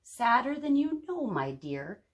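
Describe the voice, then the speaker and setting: A woman's voice: fairly high pitch, sing-song, unhurried. A woman voicing a kindly, grave old woman in a storybook, speaking in a solemn, dramatic way. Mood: sorrowful.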